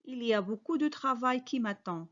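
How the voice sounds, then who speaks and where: High-voiced teacher woman drilling phrases. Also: expressive, normal speed, muffled recording. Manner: careful, clearly spoken model sentences, each repeated. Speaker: French teacher. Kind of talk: slow repeated phrase drills with quick translations.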